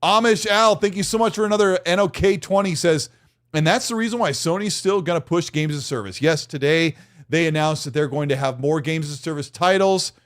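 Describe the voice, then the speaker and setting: Upbeat podcast host with a higher voice. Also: male voice. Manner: upbeat, quick thank-yous to viewers. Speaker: podcast host reading super chats. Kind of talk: casual gaming podcast chat.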